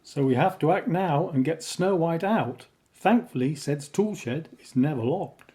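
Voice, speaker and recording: A woman's voice: low voice, lively intonation, a little slow, warm tone. A woman playing a blunt, sceptical, scornful rebel in an audio drama. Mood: urgent.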